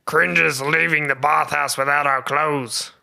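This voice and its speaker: A man's voice: a little high, fairly even intonation, a little slow, creaky, pushed and bright, voice pushed down, full character voice. A man playing a stern, earnest hero who scolds his comrade insistently in an improvised comedy roleplay. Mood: earnest, puzzled.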